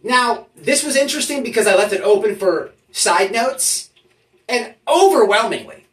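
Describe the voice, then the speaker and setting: A YouTube host giving mock-serious commentary in an emphatic, halting, mock-official way, often checking in with listeners. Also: medium pitch, animated. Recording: roomy echo, distant from the mic.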